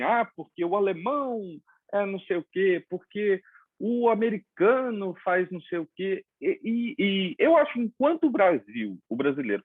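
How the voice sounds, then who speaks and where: Man, from Brazil, interview host, animated storyteller, dry tinny phone-like sound. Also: high voice, dry recording. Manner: animated storytelling with quoted dialogue. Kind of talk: casual interview chat.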